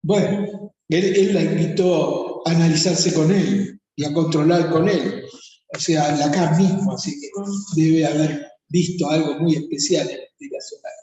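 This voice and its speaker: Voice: medium pitch, a little slow, mellow, lo-fi sound, a little hiss or hum. A speaker with an Argentine accent, a psychoanalyst reflecting on theory in a seminar discussion. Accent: Argentine Spanish. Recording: very echoey hall, long reverb.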